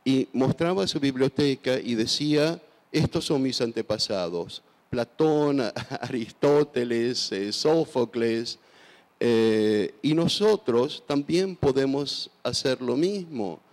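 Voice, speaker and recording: A man's voice: medium pitch, expressive, takes it slowly, a little mellow. A man in his sixties from Argentina, answering onstage interview questions with a handheld microphone, thoughtful and hesitant with many fillers and restarts. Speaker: author guest. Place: large festival stage. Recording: long hall reverb.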